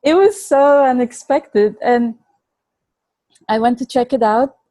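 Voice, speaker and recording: Bulgarian accent lady, warm low-key tone, dry close sound, chatty and earnest. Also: slow, no echo. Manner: earnest, laughs easily, restarts words. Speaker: guest. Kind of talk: conversational storytelling.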